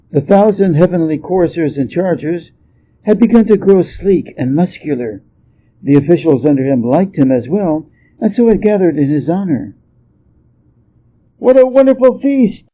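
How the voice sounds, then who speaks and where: A man's voice: on the high side, animated, normal speed, husky. A man reading scripted storybook narration, on a slightly muffled recording. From Hawaii, United States. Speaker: story reader.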